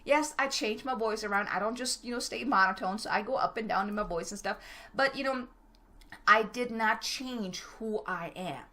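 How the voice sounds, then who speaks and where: A middle-aged woman from Germany with a German accent, giving a motivational talk as a business coach. She talks fast, direct, and stumbles over her words. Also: mid-range voice, sharp tone.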